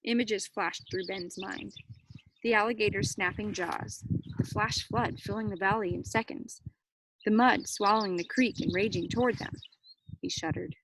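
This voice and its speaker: Woman reading aloud, creaky voice. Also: mid-range voice, normal speed, low-quality recording. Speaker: read-aloud storyteller. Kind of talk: children's book read-aloud with brief asides. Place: outdoors near cows. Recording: faint background noise.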